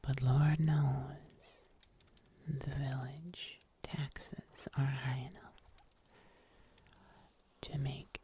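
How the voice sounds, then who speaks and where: A performer voicing a calm, world-weary werewolf huntsman in a breathy, hushed whisper for a fantasy ASMR roleplay, deliberate and full of pauses. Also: mid-range voice, a little hiss or hum. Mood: wry, weary. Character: world-weary pink-furred werewolf huntsman, monster slayer, calm loner.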